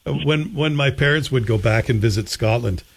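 Male morning radio interviewer, Canadian accent, average pitch, conversational. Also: moderate pace, husky. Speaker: morning radio show host.

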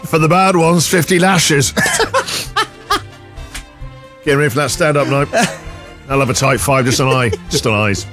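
A man's voice: medium pitch, brisk, fairly bright tone, soft background music. A man hosting a breakfast radio show, reading out listener puns and laughing often. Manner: playful punning, laughs often. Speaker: radio host. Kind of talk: jokey breakfast radio banter. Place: radio studio.

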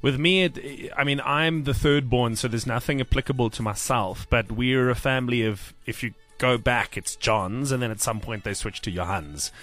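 A South African man co-hosting a radio show, chatting in a relaxed way and thinking aloud as he goes. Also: medium pitch, normal speed, few pauses. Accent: South African English. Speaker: radio co-host. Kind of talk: conversational radio chat.

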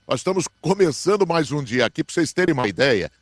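A man talking as a radio sports commentator on a morning show, explaining matches in a measured way. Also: on the high side, sing-song, dry recording, studio quality.

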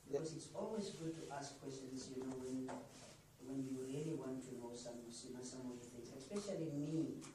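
A man's voice: mid-range voice, a little fast. A man from Namibia, a radio presenter chatting casually and giving advice to students. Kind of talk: informal talk to a group of students. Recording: very echoey, distant, noisy room.

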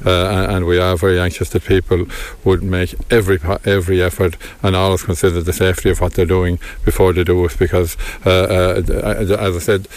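A man speaking for a farmers' group outdoors on a farm, measured and halting with many restarts. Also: sits low, monotone, a little mellow. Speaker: farm organisation representative.

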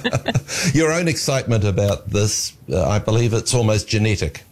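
A male interviewer with a notably low voice asks long, measured questions. He has a wry, understated touch. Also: animated, darkish tone.

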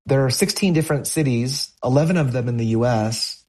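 A man's voice: mid-range voice, brisk, darkish tone. A middle-aged American man, a poet, talking reflectively as a guest in an interview. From United States, California.

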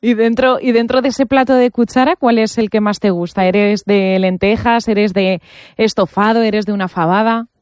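A woman interviewer speaks at a medium pitch. She is informal and responsive, asking questions as if in a casual chat, and the recording is dry. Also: steady tone, moderate pace, a little mellow, dry recording.

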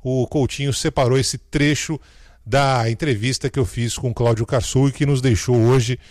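A man on a radio talk show who fondly remembers a colleague who has just died. He speaks thoughtfully, pausing with fillers. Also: medium pitch, fairly even intonation, a little mellow, no echo, studio quality. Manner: reflective, fond, with hesitant fillers. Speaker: radio commentator. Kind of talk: casual radio tribute.

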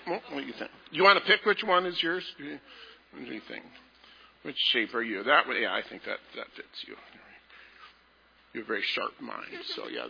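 A man leading a children's message in a church service, talking to the children in a gentle, playful way. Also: sits high, gravelly, breathy edge. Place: large church sanctuary. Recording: echoey hall, dull lo-fi sound.

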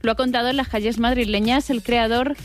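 A woman's voice: fairly high pitch, steady tone, fairly quick. Upbeat female online-trends reporter. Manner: upbeat, laughing, informal. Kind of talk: chatty segment report.

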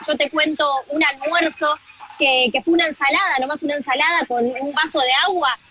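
Bright high-voiced Argentine woman reporting. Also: a little hiss or hum. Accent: Argentine Spanish. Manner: brisk, informative, frequent filler "eh". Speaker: reporter covering the tournament. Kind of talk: live field report.

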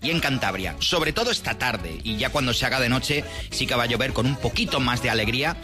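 A man's voice: mid-range voice, lively intonation, a little fast. Chatty Spanish weatherman over music. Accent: Spanish (Spain). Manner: chatty, playful diminutives. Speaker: meteorologist. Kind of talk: casual weather report on radio.

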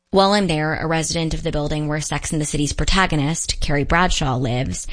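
Female reporter, low register, scripted feature narration, measured. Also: low-quality recording.

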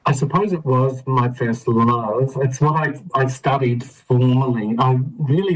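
Relaxed Australian man telling his story. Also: medium pitch, low-quality recording. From Melbourne, Australia. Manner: relaxed, many ums, laughs easily. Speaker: interview guest. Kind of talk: conversational storytelling.

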